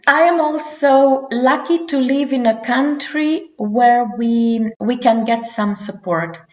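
A woman's voice: fairly high pitch, slow. A woman in her forties from Romania, giving earnest interview answers with frequent restarts. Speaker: guest. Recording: roomy echo.

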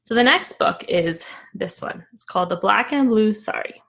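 A woman's voice: mid-range voice, lively intonation, moderate pace, vocal fry, fairly bright tone, breathy edge. A woman from Afghanistan hosting her own podcast, talking casually and reflectively with many fillers and restarts. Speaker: podcast host. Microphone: camera video, facing the screen.

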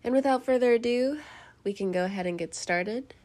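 A woman's voice: on the high side, a little fast, darkish tone, slight background noise. A young woman with a head cold hosting a casual tarot reading, chatty and rambling, laughing often and cursing now and then. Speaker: tarot reader host.

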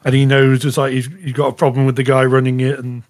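A man chatting casually as a podcast panellist, halting and rambling, often saying "you know". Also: medium pitch, completely flat delivery. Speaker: podcast panellist reviewing shows. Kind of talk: casual chat.

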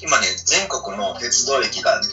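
A man's voice: mid-range voice, lively intonation, a little slow, bright, forceful tone, soft background music. A man co-hosting a casual chat, earnestly explaining his points and seeking agreement. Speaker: talk show co-host. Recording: muffled, roomy, distant from mic.

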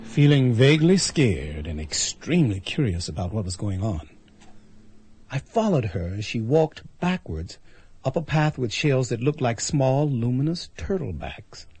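A man's voice: mid-range voice, big pitch swings, measured pace, a little mellow, husky, full character voice. An actor playing a bewildered, anxious man who wryly recounts a strange adventure in a radio drama, stammering when flustered. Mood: mystified, recounting. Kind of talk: radio drama acting with first-person narration.

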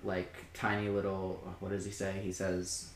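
A man's voice: sits low, monotone, moderate pace. A man co-hosting a podcast, chatting casually about albums, hesitant, with many fillers and restarts. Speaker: podcast co-host. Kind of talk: casual music review chat. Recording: echoey room, faint background noise.

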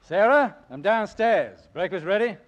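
An actor playing a genial middle-aged uncle in an old radio drama, with a hearty, folksy manner. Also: male voice, high-pitched, animated, a little slow, lo-fi sound, soft background music. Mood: cheerful, hearty. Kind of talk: 1940s radio drama acting.

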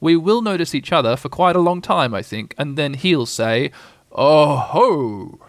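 A man voicing a kindly, slow-witted toy bear who thinks aloud in a bumbling, earnest way for a storybook reading. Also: fairly high pitch, lively intonation, vocal fry, no room sound, clean studio sound. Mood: musing.

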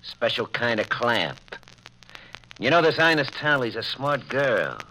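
A man's voice: medium pitch, sing-song, a little slow, sharp tone. An actor playing a menacing, double-crossing gangster with slangy tough-guy talk in a 1940s radio drama. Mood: smug menace. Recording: noisy, crackly old radio recording.

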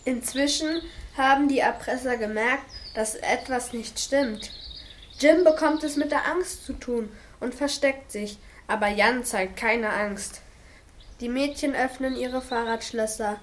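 A woman's voice: a little high, measured pace, slight background noise. A female narrator reading the scripted linking text of an amateur radio play.

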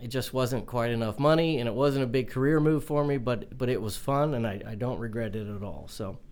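A man in his forties with a young-sounding voice, answering interview questions in a halting way with many fillers and restarts. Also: mid-range voice, a little mellow. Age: 40s. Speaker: mortgage company owner.

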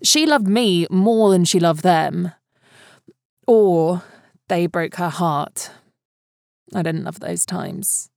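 A woman delivering scripted narration in a measured, clearly phrased reading manner. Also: fairly low pitch, animated, a little mellow, no echo, clean studio sound. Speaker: podcast announcer and reader.

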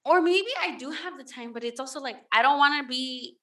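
A woman's voice: high-pitched, fairly quick, pushed and bright. A woman co-hosting a casual chat, rambling with lots of fillers and restarts. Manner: rambling, filler-heavy with many "like"s. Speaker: podcast co-host.

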